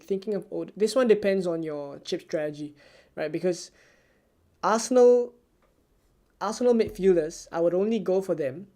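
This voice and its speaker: A streamer from Singapore, answering viewer questions in a live stream with a soft, low-key, almost ASMR-like delivery. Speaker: fantasy football streamer.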